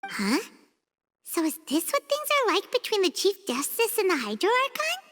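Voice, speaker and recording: A woman's voice: extremely high pitch, huge pitch swings, normal speed, a bit bright, slight background noise, exaggerated voice. A woman voicing a tiny, chatty female companion who talks about herself in the third person and stammers when flustered. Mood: curious. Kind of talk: video game cutscene dub. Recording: long reverb.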